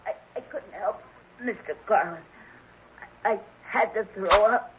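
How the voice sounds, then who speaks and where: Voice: medium pitch, measured pace, close to an everyday voice. An adult performer plays a strange, earnest little orphan boy in a vintage radio play. The speech is simple and childlike, yet insistent. The old recording is dull, with plenty of noise. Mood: weak, matter-of-fact. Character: eerie, earnest orphan boy with healing powers. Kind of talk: old-time radio drama acting.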